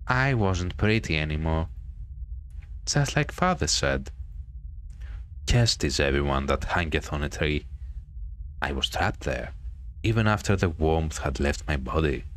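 Horror game ghost girl, played by a man: bitter, vain, low register, expressive dramatic storytelling. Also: exaggerated voice. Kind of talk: visual novel horror read-aloud.

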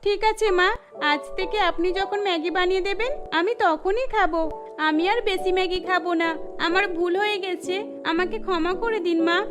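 A woman's voice: very high-pitched, normal speed. A woman voicing a stubborn, childish young daughter-in-law in a cartoon, earnest and a little whiny. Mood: apologetic. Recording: music bed under the voice.

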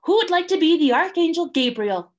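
A woman voicing a bossy, fussy female emu in a storybook, brisk and theatrical. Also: extremely high pitch, expressive, pitched above the performer's own voice.

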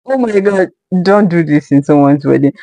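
A woman's voice: low-pitched, animated, normal speed, warm tone, dry recording. A young woman hosting a reaction video who chats casually and reacts with incredulous, laughing asides, talking into a headset in a small room.

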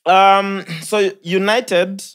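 A man's voice: sits high, extremely slow, clear, resonant tone. A young man with a Kenyan accent, co-hosting a casual podcast chat in a studio lounge, leading the talk with jokes. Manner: lively, joking, leads the talk. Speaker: podcast co-host. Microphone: studio mic on a boom arm.

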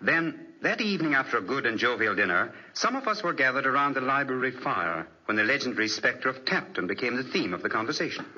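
An actor playing a genial English country gentleman and heir, with jovial old-fashioned manners, in a 1940s radio drama. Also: medium pitch, big pitch swings, fast, few pauses, husky, heavily acted. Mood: sombre storytelling. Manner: jovial, old-fashioned upper-class turns of phrase. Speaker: narrator and character actor. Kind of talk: 1940s radio acting, narration and dialogue. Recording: dull restored 1940s radio recording.

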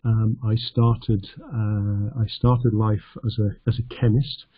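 A middle-aged man from North Yorkshire, teaching an online lesson over slides in a halting, conversational way with a self-deprecating humour. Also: fairly low pitch, flat delivery, slightly rough, no echo, dull audio. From North Yorkshire, England. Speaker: English teacher.

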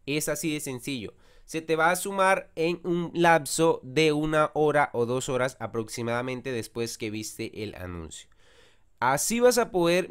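A young man hosting a screen-recorded tutorial, talking the viewer through each step in a chatty way and often checking in with a quick question. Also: a little high, smooth. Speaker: channel host.

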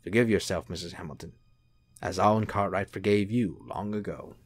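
Man playing a calm, lowish-voiced angelic gentleman. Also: normal speed, no room sound. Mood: gently firm. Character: serene, gently persuasive male angel in human guise. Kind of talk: audio drama acting.

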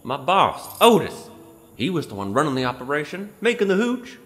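A country bootlegger played by a man, answering questions in an audio drama. His pitch swings about and his voice rings in a reverberant space, with faint music. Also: very animated, moderate pace, fairly bright tone. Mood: submissive, confessing. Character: rustic male bootlegger underling confessing under questioning.

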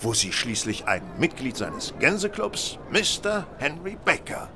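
An actor playing a shrewd, self-assured gentleman detective in an audio drama, polite and measured in manner. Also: medium pitch, huge pitch swings, noisy background. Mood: courteous, confident. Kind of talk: German audio drama acting.

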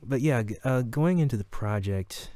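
A man speaking as an audio drama director in a casual, halting, easygoing commentary. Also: medium pitch, lively intonation, dark tone, dry recording.

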